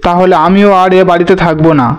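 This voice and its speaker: A man's voice: high voice, steady tone, fast talker, clean tone, dull audio, close to an everyday voice. A man voicing a brave, protective young landlord's son in a cartoon, earnest and resolute. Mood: pleading, resolute. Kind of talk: Bengali cartoon voice acting.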